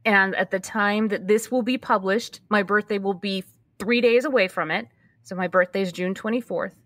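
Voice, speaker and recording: A woman's voice: medium pitch, normal speed, a bit bright, no echo. Candid woman in her fifties, dry close sound. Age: early 50s. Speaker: podcast host being interviewed. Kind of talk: candid conversational interview.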